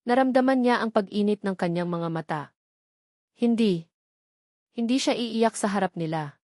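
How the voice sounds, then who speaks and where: A woman's voice: medium pitch, moderate pace, a little mellow, dry recording, studio quality. A woman reading scripted narration of a dramatic love story.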